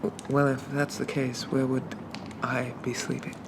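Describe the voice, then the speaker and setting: A bashful, genteel zombie gentleman, acted by a man, speaks very slowly in a soft ASMR half-whisper that often breaks into gravelly creak. He is hesitant and quaintly polite, with an old-fashioned turn of phrase. Loud noise runs constantly behind the voice. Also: mid-range voice, little pitch movement. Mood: flustered, bashful.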